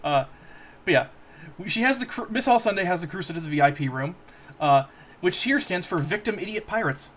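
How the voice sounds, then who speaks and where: A man co-hosting a casual podcast chat, his voice a little hoarse, joking and laughing often. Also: sits high, animated, fairly quick, a bit bright. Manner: laughs often, jokey asides. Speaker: podcast co-host.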